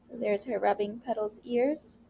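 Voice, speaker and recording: Woman, fairly high voice, picture-book storyteller for kids, recorded with some background noise. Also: normal speed, low-quality recording. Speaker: educator reading a picture book aloud. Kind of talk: read-aloud storytelling with commentary for children. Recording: clear steady background noise.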